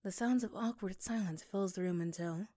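Mellow woman narrating a story. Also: mid-range voice, moderate pace, dry recording, studio quality. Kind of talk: scripted story narration for ASMR roleplay.

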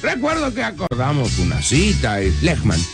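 A man with an Argentine accent narrates a comic tale in a high, creaky voice with lively intonation, over loud music. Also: normal speed. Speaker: radio storyteller and narrator.

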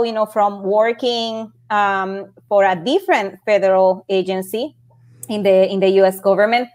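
A woman with a Puerto Rican Spanish accent speaks on a video call from a home office. Her voice sits at an ordinary pitch for a woman. She talks earnestly and keeps restarting and repeating words as she goes. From Puerto Rico. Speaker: panel guest, space project manager. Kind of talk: personal career story on a panel.

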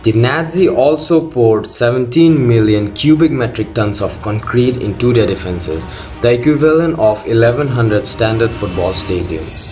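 A man's voice: medium pitch, animated, moderate pace. A man reading scripted documentary narration as the host of a video. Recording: loud music under the voice. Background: loud background music.

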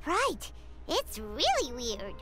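Squeaky, cheerful childlike fairy mascot for a game, very bouncy intonation, extremely high. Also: female voice, huge pitch swings, slow pace, fairly bright tone, soft background music, full character voice. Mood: puzzled. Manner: perky, exclamatory. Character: tiny, cheerful, cocky childlike floating fairy companion. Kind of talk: scripted video game dialogue.

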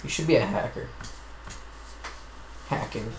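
Teenage boy, blunt sarcastic mumbling, middle pitch, roomy echo, game music behind. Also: expressive, unhurried, creaky. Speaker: co-player. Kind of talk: casual let's play banter.

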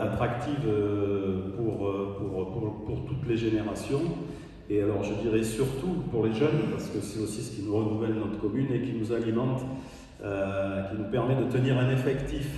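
Brief: low, dark-toned man, halting mayor's speech, far from the mic in a reverberant hall. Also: slight background noise. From France. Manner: hesitant, many fillers and restarts. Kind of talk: welcome speech. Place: town hall ceremony room.

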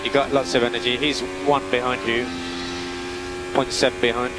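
A man working as a race engineer gives calm, methodical, terse instructions over team radio, repeating key calls. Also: medium pitch, moderate pace. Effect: team radio transmission. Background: vehicle hum and noise.

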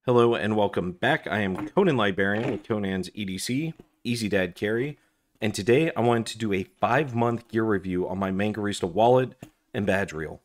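A man who is hoarse and losing his voice, chatting casually with lots of fillers while he reviews his gear at a desk indoors. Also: fairly low pitch, normal speed, slight background noise. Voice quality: raspy. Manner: chatty, rambling, with frequent fillers and restarts. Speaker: gear review channel host. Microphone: overhead camera on desk, hands only.

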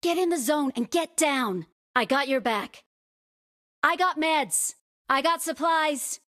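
A woman's voice: sits high, expressive, slow pace, sharp tone, full character voice. A woman voicing a female battle-royale squadmate in a shooter game, giving short, clipped tactical callouts. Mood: calm, assured. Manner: clipped, isolated command phrases. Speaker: game voice-pack actor.

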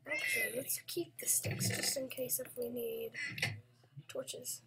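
A woman whispering her way through a block-building game, talking softly to herself and sometimes breaking into a playful sing-song chant. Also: a little high, slow pace, gravelly. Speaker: gaming video creator. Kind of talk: whispered gameplay commentary.

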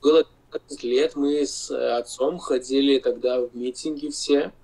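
A Lithuanian-accented man in his forties, a non-native speaker, argues insistently over a video call, stopping to search for words. His mid-pitched voice has a gravelly, creaky texture. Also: steady tone, warm tone, low-quality recording. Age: 40s. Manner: insistent, halting non-native speech with pauses. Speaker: video-chat guest. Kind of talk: heated video-chat argument.

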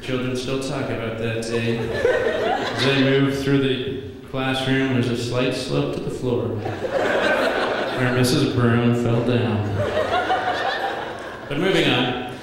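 A man in his thirties giving a best man's toast at a wedding reception, telling comic stories in a dry, deadpan way at a podium microphone. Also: mid-range voice, slow, heavy background noise. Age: 30s. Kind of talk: wedding toast read from notes. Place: large banquet hall at a wedding reception. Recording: echoey banquet hall with reverb. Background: guests laughing.

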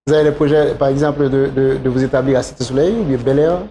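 Man, Haitian accent, talk show host, halting phrasing, mid-range pitch, slight background noise. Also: fairly even intonation, dark tone. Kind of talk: conversational interviewing. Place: small talk show studio, behind a desk.

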